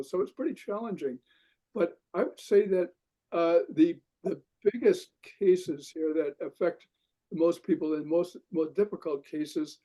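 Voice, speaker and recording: An older man talks in a measured, halting way, pausing often and filling gaps as he thinks. His voice sits a little high but sounds mellow and soft-edged. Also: poor audio. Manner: measured, halting with many pauses and fillers. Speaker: discussion participant. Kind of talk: reflective group discussion.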